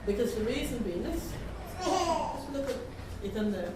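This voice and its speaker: Measured woman in a heavily echoing room. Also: sits high, expressive. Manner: measured, formal wording. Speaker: community member giving testimony. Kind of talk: reflective spoken account. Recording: very echoey, distant, noisy room.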